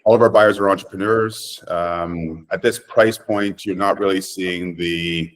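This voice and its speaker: A middle-aged man from Canada with a fairly deep voice talks as a property developer in an interview. He answers conversationally and emphatically, often landing on short, declarative statements. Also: steady tone, a little slow, a little hiss or hum.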